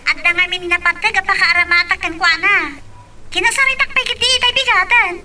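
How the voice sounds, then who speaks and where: Very high-pitched bright young woman. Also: steady tone, brisk, heavily acted. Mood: pleading, coy. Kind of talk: Ilocano radio drama acting.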